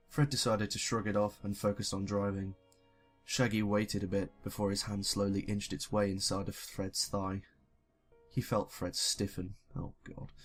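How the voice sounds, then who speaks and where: A young man reading a story casually, with sweary, self-deprecating joking asides. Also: lowish voice. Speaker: YouTuber reading fan fiction.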